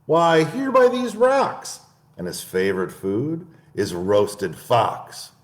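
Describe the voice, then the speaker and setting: A man's voice: big pitch swings, takes it slowly. A man voicing a small, clever brown mouse from a storybook, in a raised voice. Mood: bold. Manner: bright storybook voice, raised pitch. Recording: echoey room.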